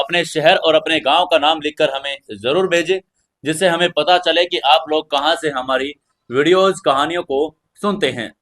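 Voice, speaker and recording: A male narrator with a slightly high voice. He hosts and tells an audio story in a dramatic storytelling style. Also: a bit bright.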